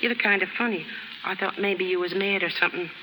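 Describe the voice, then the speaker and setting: An American actress playing a brash, warm-hearted young working-class woman in a 1930s radio drama, slangy, ungrammatical and cheeky. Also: mid-range voice, quick pace, lightly acted. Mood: caring, frank. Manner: slangy, ungrammatical, cheeky, putting on airs at times. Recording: hissy 1930s radio recording, reverberant stage.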